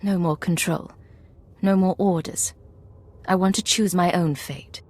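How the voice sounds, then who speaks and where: A woman playing a cool, determined imperial agent in a video game, speaking in measured, terse sentences. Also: fairly low pitch, steady tone, darkish tone. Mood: resolute. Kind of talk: video game dialogue acting.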